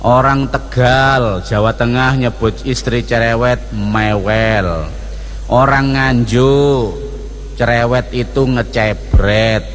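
A man with an East Javanese accent from Surabaya, preaching in a mosque with jokey, animated prayers for the congregation. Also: mid-range voice, takes it slowly, faint music behind. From East Java. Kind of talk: sermon with humorous prayers. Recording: reverberant mosque hall, faint ambience.

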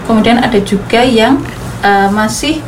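A woman's voice: fairly high pitch, a little slow, background noise. A woman speaking, explaining earnestly with many fillers. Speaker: guest speaker, regional official. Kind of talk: panel discussion.

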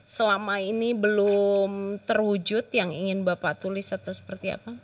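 A woman hosts a casual interview, asking question after question. She keeps up short hums of agreement while her guest talks. Her voice sits at an ordinary middle pitch. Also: little pitch movement, moderate pace. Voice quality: chatty, with many brief backchannel hums. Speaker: interviewer host.

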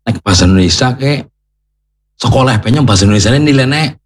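A content creator with a broad Banyumasan Ngapak accent chats in an easygoing, joking way, often chuckling between phrases. His voice sits at an ordinary middle pitch. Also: quick pace. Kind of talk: casual chat. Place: radio studio.

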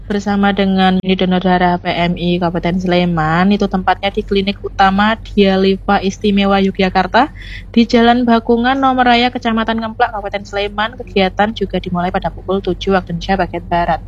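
Woman, hoarse, friendly staffer reading out schedules and lists of numbers on a muffled phone-in line. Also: medium pitch, flat delivery, brisk. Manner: friendly, reads out lists of figures. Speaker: blood donor unit staff. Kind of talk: radio phone-in report.

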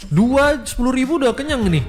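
A man's voice: extremely high pitch, big pitch swings, brisk. A man with an Indonesian accent hosting a casual, chatty radio interview, asking curious questions and repeating answers back to check them. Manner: inquisitive, repeats back answers, often adds tags seeking confirmation. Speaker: radio host interviewing a guest.

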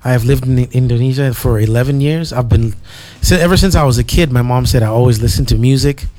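A young man from Zimbabwe, a musician guest in a relaxed interview, easygoing and full of "you know"s. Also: medium pitch, fast. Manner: easygoing, repeats himself, many "you know"s.

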